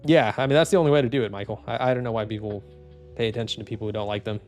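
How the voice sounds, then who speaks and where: A man streaming casually, reading chat comments in a low, even, unhurried voice with lots of fillers and restarts. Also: soft background music. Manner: laid-back, rambling, many fillers and restarts.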